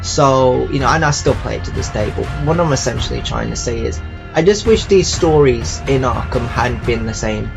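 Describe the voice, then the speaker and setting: A man giving casual, rambling game commentary like an audio log, halting and often circling back to repeat his phrases. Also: mid-range voice, animated, vocal fry, over music, poor audio. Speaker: YouTuber reviewing games.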